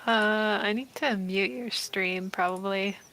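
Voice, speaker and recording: A young woman answering casual interview questions about her writing in a hesitant, halting way. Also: mid-range voice, steady tone, pushed and bright, slight background noise, poor audio. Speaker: story author being interviewed.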